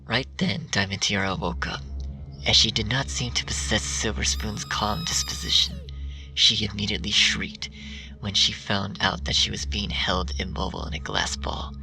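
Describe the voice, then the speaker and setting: A man narrates a dark tale in a hushed, half-whispered delivery. His voice is low and gravelly. He sits back from the mic while music plays clearly beneath him. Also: huge pitch swings, normal speed. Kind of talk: scripted story reading. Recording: distant mic with music behind.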